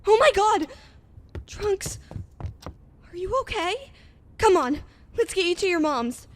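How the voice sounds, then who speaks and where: A woman's voice: very high-pitched, lively intonation, slow pace, slight background noise, heavily acted. A woman voicing an exasperated but caring young woman, a fighter's daughter, in a fan-made anime roleplay. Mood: worried.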